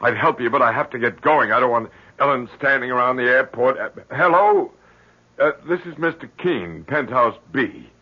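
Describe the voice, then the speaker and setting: Flustered, husky middle-aged father. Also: medium pitch, lively intonation, normal speed. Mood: nervous, flustered. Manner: flustered, stammering, impatient. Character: fretful, middle-aged protective father and husband. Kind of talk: 1970s American radio drama acting. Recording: muffled 1970s radio recording.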